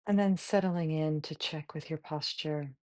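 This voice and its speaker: Female meditation guide, mellow and even, gentle short phrases with long pauses, close dry sound. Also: low-pitched, no echo. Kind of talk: guided body scan meditation.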